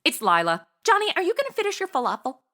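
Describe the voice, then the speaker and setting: A woman's voice: very high voice, huge pitch swings, fast talker, bright, forceful tone, full character voice. An actress playing a brash, nosy, flippant young modern woman in an audio comedy, talking in casual, sassy slang. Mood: casual, blunt. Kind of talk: comedy audio drama acting.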